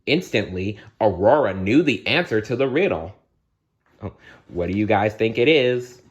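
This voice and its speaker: A man's voice: lowish voice, lively intonation, normal speed, highs cut off. A man reading a bedtime story aloud expressively, now and then speaking to young listeners. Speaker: storybook reader.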